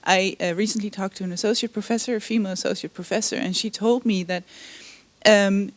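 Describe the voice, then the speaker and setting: A woman's voice: medium pitch, steady tone, a little fast, no echo. A woman with a mid-range voice speaks earnestly at a workshop. She is recorded close and dry. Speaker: workshop participant. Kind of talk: group discussion contribution.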